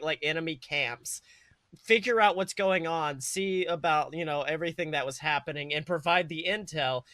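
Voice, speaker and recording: A man's voice: on the high side, bright, forceful tone, no room sound. A man co-hosting a podcast, improvising a comedic story in an informal, sweary way with dramatic build-ups. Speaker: podcast co-host.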